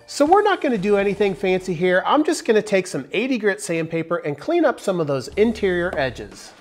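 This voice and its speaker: A man in his forties talks through a woodworking project step by step, upbeat and clear. His pitch swings lively from line to line, with soft music playing faintly behind him in a big indoor workshop. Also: brisk. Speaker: woodworking channel host. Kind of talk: workshop tutorial narration. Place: large wooden workshop, indoors.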